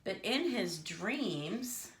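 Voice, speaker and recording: A woman's voice: mid-range voice, very animated, drawn-out words, reverberant, noisy background. A woman reading a children's storybook aloud expressively and theatrically, as if to young listeners. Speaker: storybook reader.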